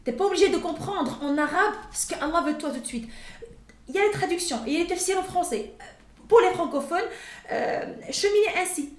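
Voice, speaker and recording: A woman's voice: very high-pitched, a little fast, a little hiss or hum. A woman who teaches the Quran, with Arabic as her first language, talking in an interview, hesitant with many fillers and restarts. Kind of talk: casual interview talk. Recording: echoey room.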